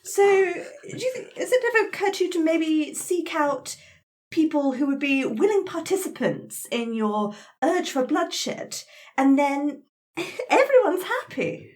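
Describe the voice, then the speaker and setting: A woman playing an earnest, courteous adventurer in a tabletop game, hesitant and gently coaxing. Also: high voice, expressive, unhurried, voice pushed up. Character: polite, earnest, encouraging female adventurer, gently persuasive.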